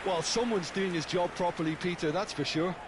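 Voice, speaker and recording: A man's voice: sits high, fairly quick. A man giving opinionated, analytical co-commentary on a football match in a video game, with stadium ambience behind him. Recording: clear game crowd noise behind.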